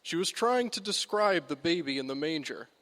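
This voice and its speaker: A male host giving measured, reflective closing remarks and reading the credits. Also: fairly high pitch, lively intonation, fairly bright tone.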